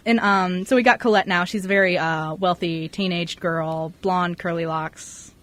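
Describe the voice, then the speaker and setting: A woman's voice: lowish voice, steady tone, normal speed, fairly bright tone. A woman co-hosting a radio show in casual, unscripted chat.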